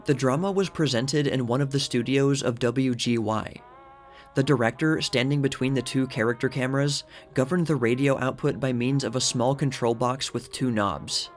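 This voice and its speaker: A man giving a measured, formal scripted narration, reading a vintage news article aloud. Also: mid-range voice. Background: music.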